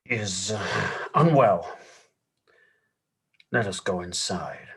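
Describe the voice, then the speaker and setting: Man playing a very raspy, monstrous henchman. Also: fairly low pitch, lively intonation, drawn-out words, fairly bright tone, exaggerated voice. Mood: curt. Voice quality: husky, rough. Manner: curt, ominous. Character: huge, monstrous, menacing henchman son. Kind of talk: dramatic script table read.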